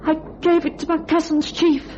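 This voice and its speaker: Casting: actress for earnest young waitress in a radio drama, very high pitch, pleading and halting; dull, hissy old recording. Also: female voice, flat delivery, darkish tone, lightly acted. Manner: halting, repeats words, earnest. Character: pleading, earnest young waitress urging her criminal boyfriend to reform. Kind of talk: old-time radio thriller acting. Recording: noisy, band-limited old radio recording.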